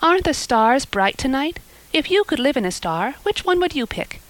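A woman's voice: high-pitched, big pitch swings, normal speed, dry recording, voice pushed up. A woman reading aloud, voicing an eager, chattering orphan girl who gushes dreamily. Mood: eager, dreamy. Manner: breathless, dreamy, gushing.

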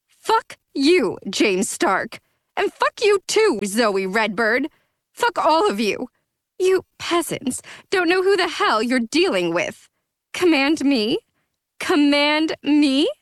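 A woman's voice: high voice, very animated, normal speed, a bit bright, no echo, clean studio sound, pitched above the performer's own voice. A woman voicing a haughty, drunk teenage vampire girl who sneers and lashes out. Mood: furious. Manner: sneering, slurred, explosive.

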